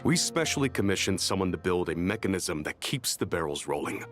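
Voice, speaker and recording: A man's voice: deep voice, sing-song, fairly quick, faint music behind. An actor playing an earnest, fussy male caravan worker in a video game, explaining things precisely. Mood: matter-of-fact.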